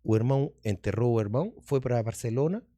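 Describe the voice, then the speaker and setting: A man's voice: medium pitch, animated, fast, dark tone, no room sound, studio quality. A middle-aged man with a Spanish accent, a native Spanish speaker from Argentina, telling stories as a magician and comedian in a casual interview, rambling and restarting, slipping in Spanish words.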